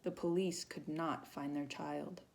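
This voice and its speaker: A woman with a fairly low, noticeably creaky voice reads a children's picture book aloud. She tells it expressively, leaning on the feelings in the story as a volunteer reader would for young listeners. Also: steady tone, unhurried, darkish tone. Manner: dramatic emphasis on feelings, with short asides to young listeners.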